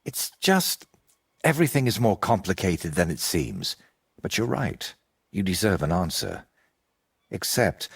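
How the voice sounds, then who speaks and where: A man playing an evasive, eccentric wandering painter in a medieval video game, with a husky, rough-edged voice and a theatrical, riddling way of talking. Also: low-pitched, heavily acted. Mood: pained, reluctant. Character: evasive eccentric male wandering painter, wry and world-weary.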